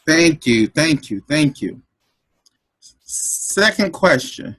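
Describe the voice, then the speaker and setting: A man's voice: on the high side, big pitch swings, slow, a bit bright, husky. A man leading the impromptu speaking segment of a club meeting over a video call, playful and repeating his questions. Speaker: table topics master.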